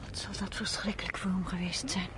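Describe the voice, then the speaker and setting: An actress playing a concerned woman gently questioning a friend in a radio play, on a noisy old recording. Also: medium pitch, fairly even intonation, brisk, natural voice. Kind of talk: Dutch radio play acting.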